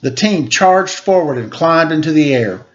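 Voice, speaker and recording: Higher-pitched man reading a children's story. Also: expressive, normal speed, dull audio. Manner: acts out quoted dialogue. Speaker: storyteller reading a picture book aloud. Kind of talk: children's story read-aloud.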